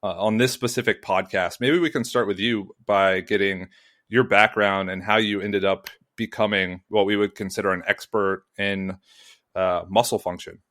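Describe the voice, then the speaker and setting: A man's voice: on the low side, steady tone, normal speed, a bit bright. A man hosting a podcast, introducing the topic and guests in a rambling way full of fillers and restarts. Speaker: podcast host. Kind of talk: podcast interview.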